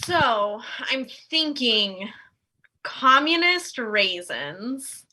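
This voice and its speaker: A woman's voice: fairly high pitch, sing-song, extremely slow, pushed and bright, heavily acted, low-quality recording. A woman playing a self-serious, drawling co-op marketer in a comedy table read. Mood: earnest. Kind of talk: virtual table read of a sitcom script.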